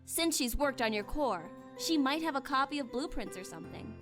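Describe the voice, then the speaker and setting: A woman's voice: sits high, expressive, moderate pace, music in the background. A woman playing a reserved, rational young female student in a visual novel, earnest and a little hesitant, awkwardly caring. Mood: earnest, supportive.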